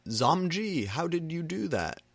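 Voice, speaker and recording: A man puts on a gullible, lovestruck goth teenage boy for a fan fiction reading. The pitch jumps up high, and the sound is dry and close. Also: very animated, a little slow, gravelly, a bit bright, no echo, exaggerated voice. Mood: awed.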